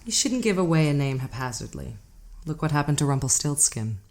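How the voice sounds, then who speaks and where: An actress playing a mysterious, composed woman hitchhiker in an audio drama, speaking in a cryptic, measured, formal manner. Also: low voice, very animated, vocal fry, darkish tone, full character voice. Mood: enigmatic, composed.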